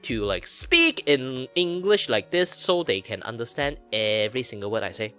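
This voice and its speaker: A man chatting casually in an animated, joking way. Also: medium pitch, moderate pace, faint music behind. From Malaysia. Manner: animated, joking, freely swearing. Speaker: stream host. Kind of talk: casual chat.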